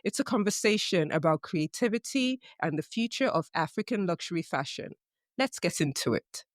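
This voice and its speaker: A woman hosting a business interview podcast, reading a measured scripted intro and then chatting in a friendly, easy way with her guest. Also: lowish voice, animated, no echo. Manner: measured, friendly questioning with light laughs. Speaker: podcast host. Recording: dry close sound with faint music under intro.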